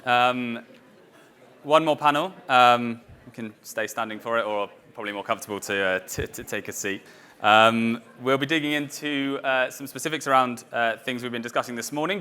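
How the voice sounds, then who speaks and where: Bright-voiced male conference announcer. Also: medium pitch, clear, resonant tone. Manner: halting, lots of "uh" and restarts. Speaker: event announcer. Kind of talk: introducing speakers at a conference. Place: conference stage.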